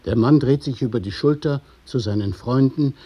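A man narrating a radio play in the present tense, in a measured, literary storytelling manner. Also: medium pitch, dark tone, breathy edge, natural voice. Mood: observing. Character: observing narrator of stage directions.

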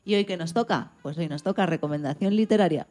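A woman host addresses radio listeners as she presents the segments. Her voice is slightly low and dark in tone, with quiet music in the background. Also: quick pace.

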